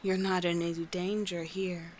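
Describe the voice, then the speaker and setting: A woman voicing a calm, teasing sea witch with soothing, formal endearments in a scripted ASMR roleplay. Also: on the low side, normal speed, a little hiss or hum, close to an everyday voice. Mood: gentle, teasing. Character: calm, courtly, teasing sea witch, gentle yet slightly monstrous.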